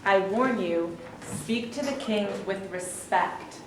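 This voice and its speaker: A woman's voice: mid-range voice, slow, lightly acted. A woman voices a loyal cavewoman servant who speaks formally and sternly. The voice reaches the mic from a distance. The room echoes heavily, with faint hiss. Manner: formal, dutiful.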